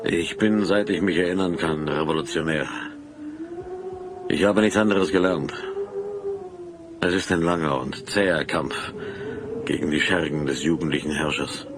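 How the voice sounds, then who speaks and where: An actor playing a self-righteous rebel highwayman in a German audio drama, declamatory and menacing. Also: normal speed, long pauses. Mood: solemn. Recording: noisy old cassette audio drama.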